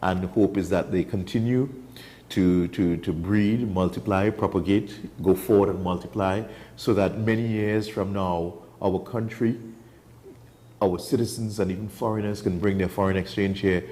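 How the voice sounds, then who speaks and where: A man's voice: low voice, fairly even intonation, normal speed, warm tone. A Trinidadian man from Trinidad and Tobago, an enthusiastic wildlife guest telling stories in a casual studio chat. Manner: enthusiastic, storytelling.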